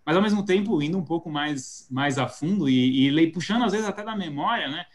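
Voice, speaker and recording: A Brazilian man with a Paulista accent and a somewhat high voice, talking as a guest on a live video call. He thinks aloud, restarting phrases and leaning on fillers as he builds his examples. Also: animated, fairly quick. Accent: Paulista Brazilian Portuguese. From São Paulo state, Brazil. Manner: thoughtful, hesitant restarts, many fillers. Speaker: guest marketing specialist. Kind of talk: live webinar conversation. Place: home office indoors. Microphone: video call webcam mic.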